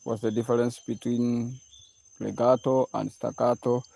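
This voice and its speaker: A man's voice: medium pitch, level tone, measured pace, gravelly, warm tone, husky. A man from Kenya hosting a live-streamed music lesson, repeating his question and coaxing viewers to answer.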